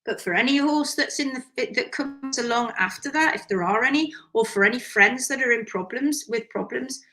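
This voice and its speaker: A hoarse woman with a croaky voice from strained vocal cords, a hoof care instructor talking animatedly and reading out viewer comments in a live session. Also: fairly high pitch. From United Kingdom. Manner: animated and emphatic, quick asides.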